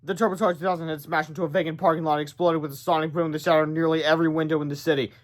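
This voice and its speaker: Higher-voiced man reading a storybook aloud. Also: flat delivery, very fast. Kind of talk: children's book read-aloud with casual asides.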